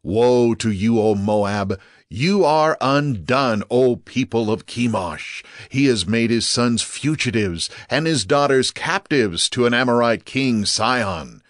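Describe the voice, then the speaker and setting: An actor playing a solemn ancient balladeer, reciting war verse in a grave, declamatory way. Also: male voice, medium pitch, animated, takes it slowly, dry recording, clean studio sound. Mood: grave, triumphant. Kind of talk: dramatized scripture recitation.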